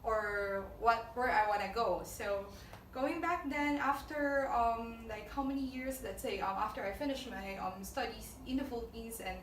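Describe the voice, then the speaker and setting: A fairly high-voiced woman from Japan, talking casually and a little hesitantly, pausing on fillers and restarting her sentences. Her voice sounds distant in an echoey room with faint background noise. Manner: hesitant, many fillers and restarts, laughs often. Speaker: company staff guest. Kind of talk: casual conversational advice.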